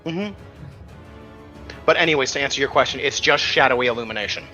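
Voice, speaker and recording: Bright-voiced man as game master. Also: medium pitch, quick pace, lo-fi sound, soft background music. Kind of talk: casual tabletop game refereeing.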